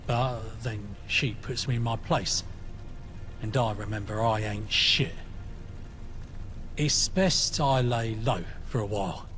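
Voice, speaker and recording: An actor with a British accent voicing a humbled, wary, loyal gunfighter in a dramatic video game. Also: male voice, mid-range voice, lightly acted. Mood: sheepish, apologetic.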